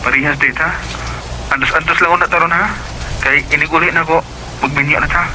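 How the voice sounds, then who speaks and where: Lightly high-pitched lovestruck Cebuano suitor. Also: male voice, steady tone, a little fast, pushed and bright, slight background noise, rough recording. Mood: affectionate. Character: earnest young suitor, gentle, lovestruck, pleading. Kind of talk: Cebuano radio drama acting.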